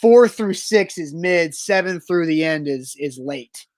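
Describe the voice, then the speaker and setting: A man's voice: fairly high pitch, lively intonation, a little slow, dry recording. A man co-hosting a sports talk show, matter-of-fact and teasing, laying out his points with pedantic precision. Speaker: sports radio co-host. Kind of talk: casual sports talk banter.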